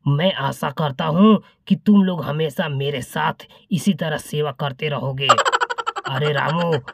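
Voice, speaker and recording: A man's voice: a little high, takes it slowly, vocal fry, no echo, studio quality, heavily acted. A man voicing a pompous, hot-tempered mosquito king in a cartoon comedy, boastful and imperious, with music behind him. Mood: grandly pleased. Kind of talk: Hindi cartoon comedy voice acting.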